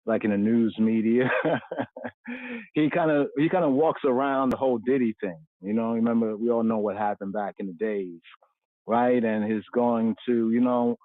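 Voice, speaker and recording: A man's voice: on the low side, dry recording. A man giving an informal religious teaching talk, rambling and repeating phrases, dropping Hebrew terms into his speech. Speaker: religious commentator. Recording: tinny phone-like, dry.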